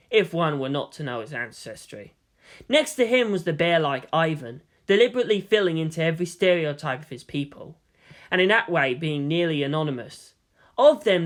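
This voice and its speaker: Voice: mid-range voice, fairly bright tone. A narrator reading a scripted fan fiction story in audiobook style.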